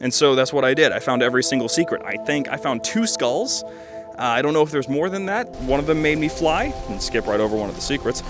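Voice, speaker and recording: A man's voice: medium pitch, lively intonation, brisk, over music. A man in his twenties giving casual, rambling game commentary as a video host, enthusiastic and full of jokey asides. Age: 20s. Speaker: video host reviewing fan games.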